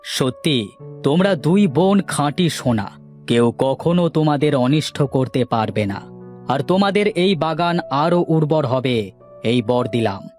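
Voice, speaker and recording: A man's voice: medium pitch, expressive, a little slow, a little mellow, soft background music, lightly acted. A man voicing a solemn, benevolent yogi spirit in a children's cartoon, speaking in stately pronouncements. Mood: blessing. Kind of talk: children's moral cartoon acting.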